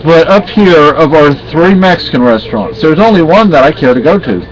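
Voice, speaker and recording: A man's voice: on the high side, animated, brisk, rough recording. A middle-aged man from Spain chatting casually and rambling on a home vlog, often correcting himself. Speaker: vlogger. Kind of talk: casual chat.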